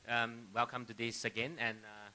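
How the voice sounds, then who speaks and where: A middle-aged man from Malaysia introducing himself on a panel, speaking in a halting way with repeated words. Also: medium pitch, flat delivery, pushed and bright, rough recording. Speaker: panelist, academic and AI company founder. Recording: live stage microphone with clear background noise.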